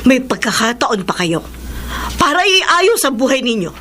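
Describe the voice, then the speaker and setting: A woman's voice: high voice, sing-song, measured pace, bright, forceful tone, a little hiss or hum, heavily acted. An actress playing a devout, disapproving middle-aged Filipino mother in a radio drama, speaking in a stern, moralizing way. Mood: stern, pleading. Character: devout, disapproving mother of a grown married daughter.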